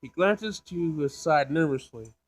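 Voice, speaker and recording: Male gaming host, slightly high voice, slangy, reads story text aloud with casual commentary. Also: expressive, a little slow. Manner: informal, slangy. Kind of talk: casual visual novel let's play, reading aloud.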